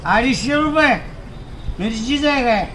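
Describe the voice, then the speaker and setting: A man with a Marathi accent playing a loud, haggling village buffoon in a comedy sketch. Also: very high voice, unhurried, very noisy. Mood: loud disbelief. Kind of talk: exaggerated comedy sketch acting.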